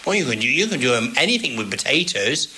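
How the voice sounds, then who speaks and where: Chatty man, bright voice. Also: mid-range voice, sing-song, a little hiss or hum. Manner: homely and rambling, with halting restarts. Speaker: interviewee. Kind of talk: casual chat.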